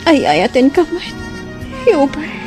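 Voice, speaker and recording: A woman acting a gentle young woman in love, speaking softly and sweetly. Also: sits high, big pitch swings, slow, exaggerated voice. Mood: tender. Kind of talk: radio drama acting. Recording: background music under the voice.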